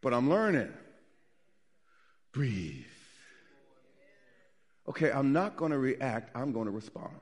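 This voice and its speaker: A middle-aged man preaching a sermon as pastor, swinging from loud emphatic lines to soft quiet ones. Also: medium pitch, sing-song, takes it slowly, long pauses, breathy edge.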